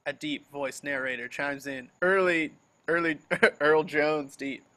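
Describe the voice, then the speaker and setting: A man's voice: on the high side, measured pace, sharp tone. A man narrating the action lines of a film script at an amateur table reading. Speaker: reader of stage directions. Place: remote video call.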